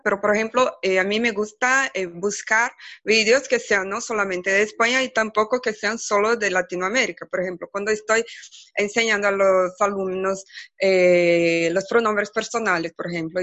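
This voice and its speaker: A woman's voice: mid-range voice, bright, forceful tone, no echo. Woman teacher on a muffled call. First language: Portuguese. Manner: hesitant, many fillers. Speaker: Spanish teacher in Latin America. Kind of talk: video call contribution.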